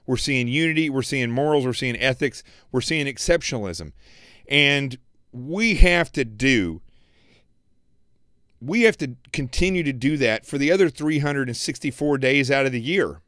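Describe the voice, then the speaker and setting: A man hosting a political commentary livestream, preaching emphatically and repeating phrases, his voice going hoarse. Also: mid-range voice, animated, normal speed, fairly bright tone, dry recording, studio quality. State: losing his voice. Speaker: show host.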